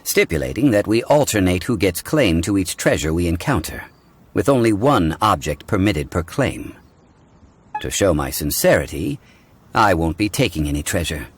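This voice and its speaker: An actor playing a composed, learned gentleman of ancient wisdom in a video game, speaking in a measured, formal, didactic way. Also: low voice, big pitch swings, breathy edge, heavily acted. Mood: composed, diplomatic.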